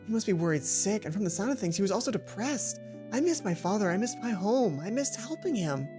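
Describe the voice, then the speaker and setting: A woman's voice: mid-range voice, big pitch swings, a little fast. A woman reading a visual novel aloud as a let's play host, narrating expressively and breaking off for casual comments. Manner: performs dialogue, breaks off to comment. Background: game music.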